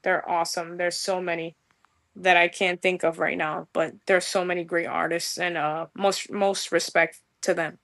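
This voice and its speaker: A woman's voice: on the low side, level tone, moderate pace, creaky, fairly bright tone. Bubbly young New York woman, slangy. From New York, USA. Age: young adult. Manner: bubbly, gushing, mixes in street slang. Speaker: guest musician. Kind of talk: casual podcast interview.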